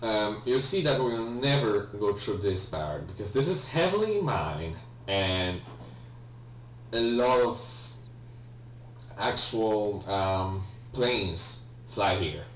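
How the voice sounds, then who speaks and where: A man's voice: on the low side, sing-song, slow. A man giving casual video game commentary in a hesitant, rambling way, often correcting himself. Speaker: let's player, video game host. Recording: roomy, distant mic with faint hum.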